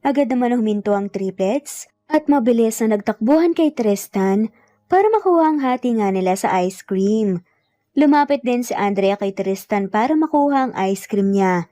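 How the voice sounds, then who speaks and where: A woman's voice: a little high, lively intonation, normal speed, mellow, dry recording. A woman with a Filipino accent reading a scripted romance story as narrator. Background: background music.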